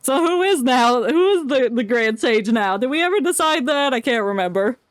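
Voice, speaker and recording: A woman's voice: sits high, expressive, moderate pace, smooth, no echo. A woman giving casual, playful live commentary as she streams a game, reacting as she goes.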